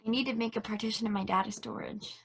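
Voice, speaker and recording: A woman playing a childlike, anxious, affectionate space probe in an amateur play reading, softly and breathily. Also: a little high, fairly even intonation, quick pace, vocal fry, slightly rough. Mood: anxious, tender. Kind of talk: amateur play table read.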